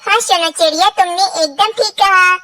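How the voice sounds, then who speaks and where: A woman's voice: very high-pitched, moderate pace, a crowd in the background. A woman voicing a dreamy, wistful young female bird in a children's cartoon. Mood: hopeful, decided. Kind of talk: Hindi children's cartoon dialogue.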